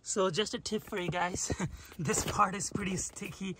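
A man's voice: high voice, fairly even intonation, unhurried, bright, forceful tone, slight background noise. A man in his thirties casually reviewing a product outdoors, chatting while he demonstrates it by hand. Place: outdoors beside a car, moving around the trunk.

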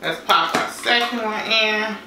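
A woman's voice: medium pitch, a little slow, heavy background noise. Woman in her 30s chatting thru a cooking demo, far off camera mic, echoey kitchen. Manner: chatty, relaxed, folksy phrases. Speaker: home cooking vlogger. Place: home kitchen, indoors. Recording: roomy kitchen, distant mic, clattering dishes.

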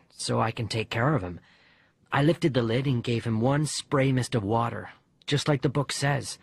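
A man voicing a bereaved young boy who narrates his diary letters in an expressive, conversational audiobook reading. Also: medium pitch, husky, dry recording.

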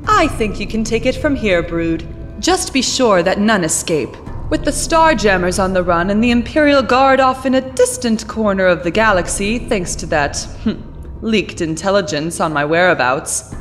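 A woman's voice: mid-range voice, sing-song, moderate pace, in a large hall, soft background music, close to an everyday voice. A woman voicing a scheming, haughty alien princess who gives orders with contempt. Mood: gloating. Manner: haughty, commanding.

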